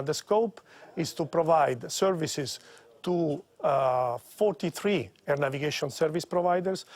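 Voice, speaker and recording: A man with a slightly high voice explains his workplace in unscripted speech. He is deliberate, often repeating a phrase before completing it. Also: creaky, a little mellow. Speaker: director of network management.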